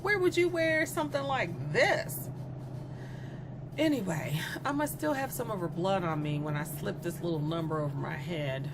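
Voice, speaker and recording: A woman's voice: lowish voice, expressive, moderate pace, music in the background. A woman playing a cruel, self-absorbed young female killer in a darkly comic audio drama, speaking in a mocking, sardonic, conversational way. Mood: flippant, boastful. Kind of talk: dramatic monologue in an audio horror comedy.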